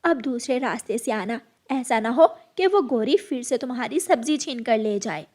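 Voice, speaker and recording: A woman's voice: a little high, animated, normal speed, darkish tone. A woman voicing a stern, protective mother bird in a cartoon, scolding and instructing. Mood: resigned instructing.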